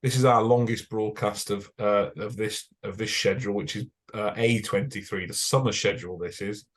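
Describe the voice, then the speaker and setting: A man's voice: fairly low pitch, moderate pace. Chatty British man explaining transmitters. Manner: chatty and self-correcting, many fillers. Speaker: broadcast engineer giving a club talk. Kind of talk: informal technical presentation. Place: club meeting room.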